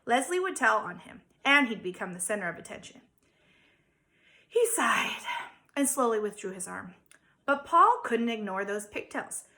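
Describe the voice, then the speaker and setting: A woman, a teacher, reading a children's story aloud with dramatic emphasis and theatrical pauses. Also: on the high side, sing-song, bright, forceful tone. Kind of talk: expressive children's storybook narration.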